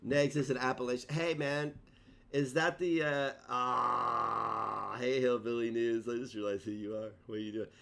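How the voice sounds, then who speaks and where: A man's voice: medium pitch, fairly even intonation, normal speed, vocal fry, a bit bright. A man, chatting casually on a livestream in a rambling, self-mocking way and tripping over his words. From Kentucky.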